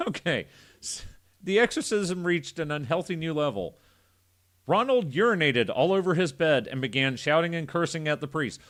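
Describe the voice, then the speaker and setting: Animated, irreverent man reading aloud. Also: on the high side, moderate pace. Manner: irreverent, foul-mouthed, joking. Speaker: podcast host. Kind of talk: casual reading of a story with joking asides.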